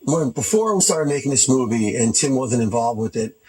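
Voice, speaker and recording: Husky New man, halting and informal. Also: medium pitch, expressive, mellow, low-quality recording. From Hoboken, New Jersey. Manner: halting, informal, repeats phrases. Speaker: film producer, interview guest. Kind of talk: casual interview chat. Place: TV studio.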